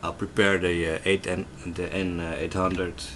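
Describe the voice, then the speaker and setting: Low-voiced Dutch man, slow talker. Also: little pitch movement, background noise. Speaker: tech reviewer. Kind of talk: casual unboxing commentary. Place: indoors at a desk in a room.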